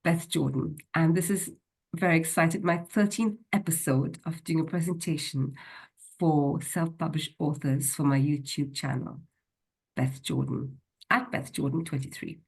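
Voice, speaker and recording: A woman's voice: deep voice, steady tone, a little slow, a little mellow, husky, poor audio. A woman hosting an author interview over a video call, enthusiastic but hesitant, with many "uh" fillers. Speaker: host.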